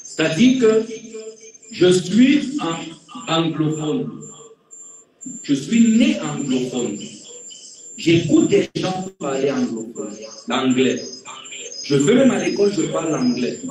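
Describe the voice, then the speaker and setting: A man preaching as a pastor, repeating key phrases for emphasis and swinging between quiet and loud delivery. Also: fairly high pitch, sing-song, takes it slowly, long pauses, a crowd in the background. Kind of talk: sermon. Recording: very echoey room, distant mic.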